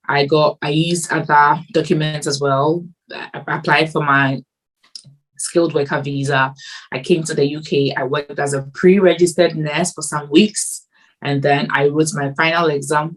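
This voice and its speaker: This is a young woman from Ghana with a low-pitched voice. She answers as a nurse guest in an interview. Her explanations are measured and patient, dotted with ums. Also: low-quality recording.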